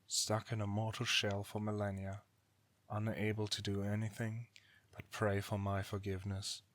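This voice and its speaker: A man's voice: fairly low pitch, monotone, a bit bright, no echo, natural voice. A man playing an ancient god in an audio drama, a bitter, weary brother who speaks formally and solemnly without remorse. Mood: cold, reproachful. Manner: formal archaic diction, grave, deliberate. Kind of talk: dramatic audio roleplay acting.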